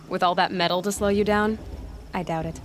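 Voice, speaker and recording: An actress playing a young, curious and self-assured huntress in a video game, blunt and probing. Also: female voice, on the low side, fairly even intonation, normal speed, a little hiss or hum, natural voice. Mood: inquisitive. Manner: direct, probing. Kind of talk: naturalistic video game dialogue acting.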